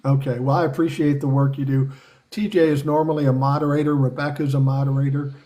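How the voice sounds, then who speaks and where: Dark-voiced man hosting a live podcast. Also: medium pitch, flat delivery, fast talker. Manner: blunt, profane, conversational. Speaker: podcast host. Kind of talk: casual live-stream chat.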